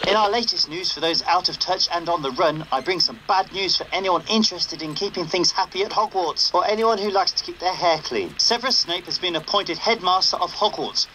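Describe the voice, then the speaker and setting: A man's voice: high-pitched, fairly quick, few pauses, pushed and bright. A male actor playing an upbeat, wry underground radio host in a video game, giving broadcast patter with some noise. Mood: grim, wry. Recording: faintly noisy radio broadcast.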